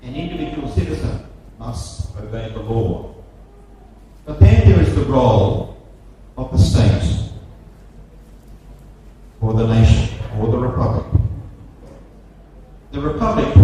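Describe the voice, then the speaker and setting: An Australian man giving a seminar lecture, speaking slowly with emphatic, repetitive phrasing and heavy stresses. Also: medium pitch. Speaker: guest lecturer. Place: large lecture hall. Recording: very echoey hall from far off, noisy.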